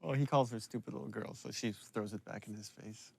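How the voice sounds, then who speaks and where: A man's voice: lowish voice, fairly even intonation, fairly quick, vocal fry, mellow. Casual man commentating over faint noise. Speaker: game director giving commentary. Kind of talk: casual behind-the-scenes commentary.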